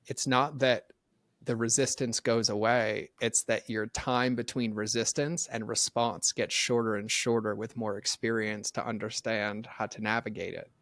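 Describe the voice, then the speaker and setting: Earnest low-voiced man, dry sound. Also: moderate pace, no room sound. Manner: earnest, animated, many "like" fillers. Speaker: podcast guest. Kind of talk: casual faith conversation.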